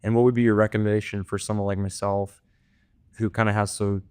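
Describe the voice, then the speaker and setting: A man with a fairly low voice co-hosts a podcast. His talk is casual and loose, full of fillers, and the studio sound is dry and close. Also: little pitch movement, fast, a little mellow, clear, resonant tone, no room sound. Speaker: podcast co-host. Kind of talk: podcast interview.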